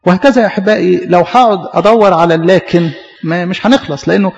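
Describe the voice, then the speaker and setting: Fast-talking Egyptian preacher in a hall. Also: male voice, high-pitched, fairly even intonation, gravelly, dull audio. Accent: Egyptian Arabic. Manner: questioning, repetitive. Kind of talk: sermon.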